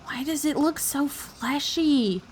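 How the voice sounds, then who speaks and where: A woman's voice: high voice, takes it slowly. A woman streamer giving casual, teasing live reactions to a game, often giggly and repeating words for effect. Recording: faint noise on livestream. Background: game audio.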